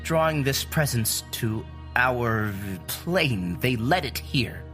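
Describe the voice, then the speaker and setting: An actor playing an earnest, cerebral scientist in an audio drama, explaining deliberately and searching for simple words. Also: male voice, medium pitch, animated, fairly bright tone, soft background music. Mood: grave, awed. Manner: deliberate, groping for simple analogies. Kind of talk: naturalistic audio drama acting.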